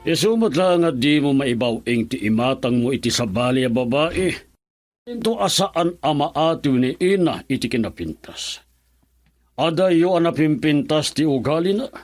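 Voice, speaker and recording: A man's voice: on the high side, expressive, moderate pace, soft background music, close to an everyday voice. A Filipino man playing an assured boss in a radio drama.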